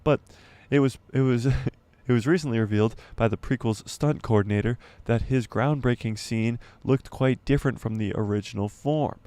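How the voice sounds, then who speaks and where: A man hosting a casual livestream, reading an article aloud and commenting as he goes, stumbling and correcting himself, his voice going hoarse. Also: medium pitch, normal speed, warm tone, dry recording. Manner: rambling, stumbles and self-corrects, laughs at himself. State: losing his voice, clearing throat. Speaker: YouTube livestream host.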